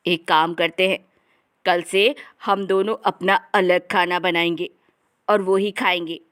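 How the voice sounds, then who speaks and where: Woman as a slow-talking, chatty older mother-in-law. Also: lowish voice, fairly even intonation, fairly bright tone, smooth. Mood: realising, practical. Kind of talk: acted dialogue in a Hindi family comedy story.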